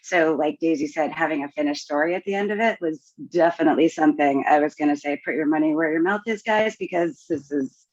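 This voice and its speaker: A woman's voice: low voice, a little fast, darkish tone, no room sound. A woman chatting casually as a podcast guest, wry and often interrupting herself. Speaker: writing course graduate, podcast guest. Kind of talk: casual chat. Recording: dull, dry lo-fi microphone.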